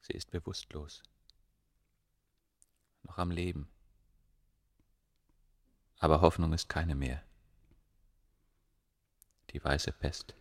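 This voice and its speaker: A man's voice: a bass voice, steady tone, slow, long pauses, no room sound, studio quality, exaggerated voice. An actor playing an earnest, troubled man of learning in ancient Egypt, speaking with urgent intensity in a radio drama. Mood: grim.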